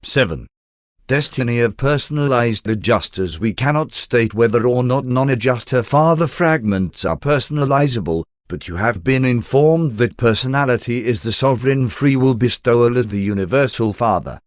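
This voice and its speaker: Measured male narrator, dry close sound. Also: medium pitch, lively intonation, dry recording. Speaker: audiobook narrator. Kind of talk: scripted narration of a spiritual text.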